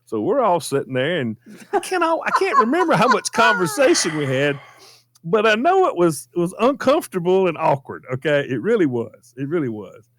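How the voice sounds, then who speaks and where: A man's voice: high-pitched, wildly swooping pitch, brisk, a bit bright, slight background noise. A man with a Southern American accent telling an anecdote casually in a folksy, repetitive, emphatic way. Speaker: storytelling show regular.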